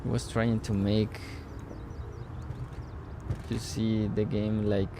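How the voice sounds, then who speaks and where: A man's voice: lowish voice, flat delivery, slow, background noise. A man streaming a city-building game live, speaking English as a second language, thinking aloud with puzzled exclamations and greeting chat viewers. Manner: non-native English speaker. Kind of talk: live gameplay commentary.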